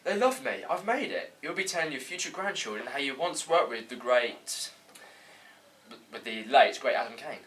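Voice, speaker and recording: A man's voice: medium pitch, animated, normal speed, a bit bright, natural voice. A man playing a naive, boastful, over-eager would-be actor at an amateur comedy table read. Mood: smug. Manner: awkward, cocky, over-eager. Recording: distant mic, faint room noise.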